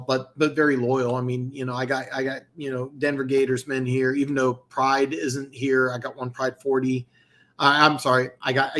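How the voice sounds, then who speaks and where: A man hosting a live sports-betting stream with a middle-pitched voice that is starting to go. He talks casually, trips over restarts and fills the gaps with fillers. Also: a bit bright. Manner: rambling, many restarts and fillers. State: losing his voice. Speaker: host of a football picks show.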